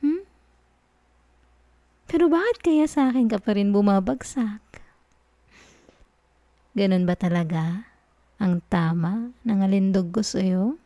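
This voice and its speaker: A woman voicing a flirty, dominant female university professor in an ASMR roleplay, soft-spoken and teasing with frequent chuckles. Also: mid-range voice, animated, slow pace, pauses often, no room sound. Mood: playful teasing. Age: adult.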